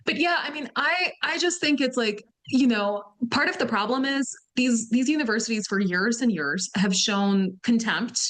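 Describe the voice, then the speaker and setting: Woman from massachusetts, radio guest, higher pitch, conversational, many fillers. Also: moderate pace, few pauses, fairly bright tone, rough recording. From Somerville, Massachusetts. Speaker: guest commentator. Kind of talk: talk radio interview.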